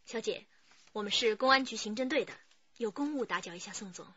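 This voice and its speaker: A woman's voice: medium pitch, lively intonation, a little fast, fairly bright tone, breathy edge, no echo. An actress playing a direct, confident young female police detective in a radio crime drama. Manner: direct, familiar. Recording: dull recording with faint noise.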